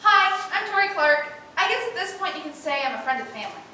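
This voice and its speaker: Actress as gushing chirpy young woman, very high voice, bright, distant echoey room. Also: fast, heavily acted. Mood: cheeky. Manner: excited, chirpy. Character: bubbly, gushing young woman, friend of the family. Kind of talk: stage comedy rehearsal acting. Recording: very echoey room, distant microphone, faint noise.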